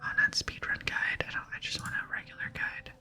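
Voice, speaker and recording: A woman whispering softly and unhurriedly close to the microphone as she hosts an ASMR gaming playthrough. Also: fairly quick. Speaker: gaming video host. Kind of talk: whispered ASMR game playthrough with reading aloud. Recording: close whisper with music behind.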